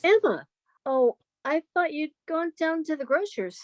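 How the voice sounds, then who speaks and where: High, earnest, sheltered country spinster played by an actress. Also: female voice, expressive, a little slow, dry recording. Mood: startled. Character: sheltered, romantic, yearning country spinster of thirty-five. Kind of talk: old-time radio melodrama acting.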